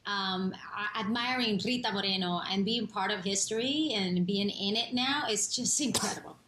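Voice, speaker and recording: A woman in her thirties from Puerto Rico, an actress talking excitedly about her film on a video call from home. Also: mid-range voice, moderate pace. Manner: effusive, gushing. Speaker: actress and singer guest. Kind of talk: remote TV interview. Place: home room, indoors. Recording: echoey room, faint hum.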